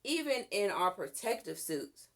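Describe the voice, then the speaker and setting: A woman's voice: mid-range voice, very animated, a little slow, a bit bright. A woman voicing a male fire chief in a storybook, instructive, emphatic and encouraging. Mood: firm.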